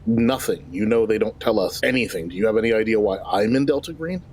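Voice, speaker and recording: A man's voice: on the low side, expressive, fast, darkish tone, slightly rough. A man improvising in-character dialogue as a tabletop player, conversational and questioning, often repeating his words. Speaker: player in a tabletop role-playing game.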